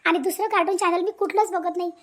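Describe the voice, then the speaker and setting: Very high, fast-talking woman appealing to viewers. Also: fairly even intonation. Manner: pleading, explanatory. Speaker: cartoon channel creator.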